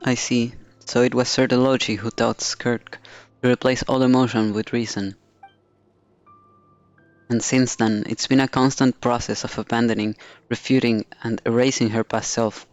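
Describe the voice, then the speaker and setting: A man's voice: mid-range voice, flat delivery, normal speed, no room sound, highs cut off, faint music behind. A man streaming a game, reading dialogue aloud and adding casual commentary.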